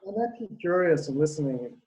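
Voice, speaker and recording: A man's voice: a little high, lively intonation, fast talker, mellow, poor audio. A man from Costa Rica speaking up at an online lecture, earnest and groping for words as he asks his question. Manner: earnest, searching for words, drawn out. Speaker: audience member asking a question. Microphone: video call.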